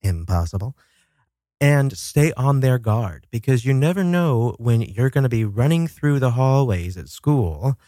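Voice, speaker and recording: A man's voice: medium pitch, big pitch swings, unhurried, dark tone, dry recording. Dramatic, chatty male podcast host, close and dry. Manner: dramatic storytelling with asides and swearing. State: coming down with a cold. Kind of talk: casual solo talk show about horror films.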